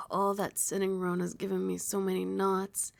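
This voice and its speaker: Casting: female actor for regal vampire queen in ASMR roleplay, indulgent yet commanding mistress, low-pitched fry at the edges, breathy and whispery, formally coaxing. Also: monotone. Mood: weary, haughty. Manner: slow, formal, coaxing pet names. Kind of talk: ASMR roleplay, intimate scripted acting.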